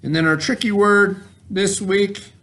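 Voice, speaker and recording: A man's voice: high voice, fairly even intonation, measured pace, a bit bright. A man teaching a remote reading lesson to children in an informal, instructional way, carefully sounding out letters and words. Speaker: elementary school teacher.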